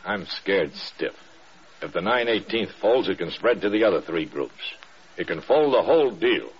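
Husky, grave senior commander. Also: male voice, low-pitched, animated. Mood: grave, urgent. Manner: earnest, measured, sombre. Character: grave, weary senior male commander confiding in a subordinate. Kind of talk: mid-century radio drama acting. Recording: dull old radio recording.

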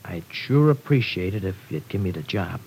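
Low-voiced young streetwise tough. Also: male voice, animated, normal speed, darkish tone. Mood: awkward, pleading. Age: young adult. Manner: slangy, ungrammatical tough-kid talk. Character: young streetwise wayward man, rough but humble. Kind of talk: 1940s radio drama acting. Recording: noisy old radio recording with rumble.

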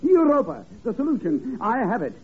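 A man's voice: very high-pitched, huge pitch swings, normal speed, husky, full character voice. A man acting a pompous, theatrical detective in a 1950s radio comedy. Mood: triumphant. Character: pompous male crooner detective, grandly deducing. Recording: tinny old radio, faint noise.

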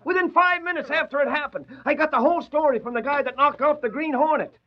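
High-pitched, exasperated newspaper reporter. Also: male voice, fast talker. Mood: exasperated, indignant. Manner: melodramatic, blustering complaints. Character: excitable, exasperated, wisecracking male newspaper reporter. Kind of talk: 1940s radio acting. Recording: dull old 1940s radio recording.

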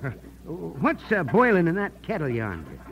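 An eager frontier foreman, high for a man and swinging widely in pitch. He is hesitant, polite and coaxing, American-accented, in vintage radio drama style with faint noise on the recording. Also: wildly swooping pitch, moderate pace, gravelly, lo-fi sound, full character voice. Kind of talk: old-time American radio drama acting.

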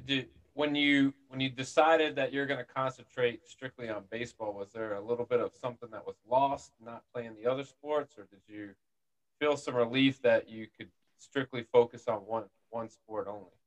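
A man's voice: medium pitch, steady tone, normal speed, poor audio. A man hosting an interview, asking questions in an upbeat, chummy way with frequent fillers. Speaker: interview host. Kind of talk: casual interview.

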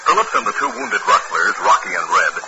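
A man's voice: low-pitched, sing-song, fairly quick. A man narrating a western radio drama in a dramatic, scripted 1950s style. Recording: muffled, very noisy old radio.

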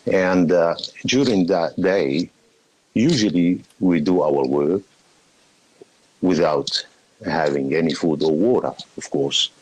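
Middle-aged man from Palestine, podcast guest; deep, slow, hesitant delivery. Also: darkish tone, slightly rough, dry recording, poor audio. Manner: slow, hesitant, many fillers, laughs often. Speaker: guest, shop owner. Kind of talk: podcast interview.

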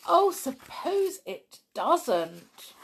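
A woman's voice: high voice, sing-song, extremely slow, vocal fry, pitched above the performer's own voice, exaggerated voice. A woman voicing Tiptoe, an anxious young female toy who frets and pleads in a children's story. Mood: worried.

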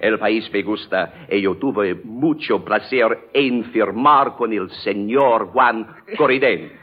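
A man's voice: on the low side, measured pace, slightly rough. A man performing a short scripted monologue on old-time radio in a theatrical, exclamatory way. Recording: tinny, narrow vintage radio recording.

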